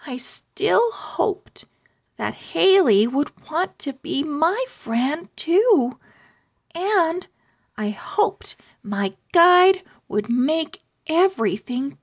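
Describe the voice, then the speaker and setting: An eager young girl owl from a storybook, read by a woman, confides in an earnest, sensitive way. The voice is high, swings up and down, and moves very slowly. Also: big pitch swings, gravelly, full character voice. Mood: sad, hopeful.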